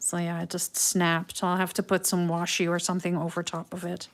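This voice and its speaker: A middle-aged woman from the Netherlands with a Dutch accent, hosting a live craft tutorial and chatting with viewers in an unhurried, musing way with frequent ums. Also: lowish voice, monotone. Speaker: craft livestream host.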